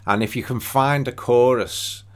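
A man's voice: mid-range voice, unhurried. A man, a guitarist and songwriter, explaining things step by step as a guest in a casual studio interview.

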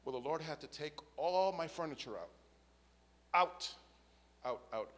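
A man's voice: fairly high pitch, expressive, a little slow, fairly bright tone, long reverb tail. A man, giving an earnest spoken faith testimony and often checking in with "right?" and "okay?". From the Bronx, New York. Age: 50s.

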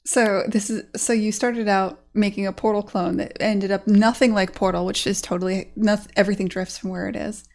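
A woman hosting a casual interview, curious and quick to react with short interjections. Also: medium pitch, steady tone, gravelly, darkish tone. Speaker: podcast host.

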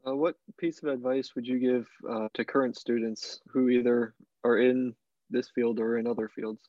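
A man interviewing a professor, reading his questions briskly over a video call. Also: mid-range voice, steady tone, lo-fi sound.

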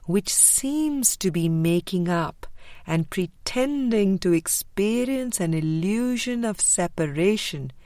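A woman narrating a spiritual audiobook in a measured, deliberate way with frequent pauses. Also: medium pitch, expressive, warm tone, no echo, studio quality. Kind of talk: scripted spiritual book reading.

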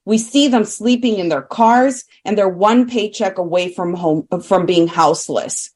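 Firm woman union representative, mid-pitched. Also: unhurried, darkish tone, husky. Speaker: hotel workers' union representative. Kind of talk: spoken statement at a press briefing.

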